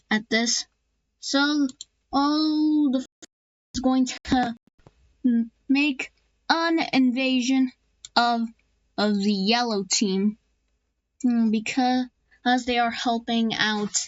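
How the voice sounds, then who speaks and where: A woman casually narrating an alternate history map series, halting often with restarts and fillers. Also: on the high side, no room sound, dull audio.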